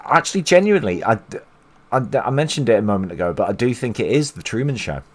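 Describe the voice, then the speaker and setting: A man's voice: medium pitch, big pitch swings, fast talker. A man from England hosting a live stream, chatting casually and rambling, with lots of restarts and fillers. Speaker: stream host. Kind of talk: casual chat.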